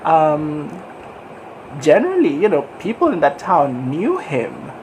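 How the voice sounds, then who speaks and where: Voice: medium pitch, wildly swooping pitch, slow, a little mellow, slight background noise, rough recording. A book vlogger, chatting casually and rambling a little while nursing a cold, sniffling, with frequent small laughs. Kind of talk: casual book review chat.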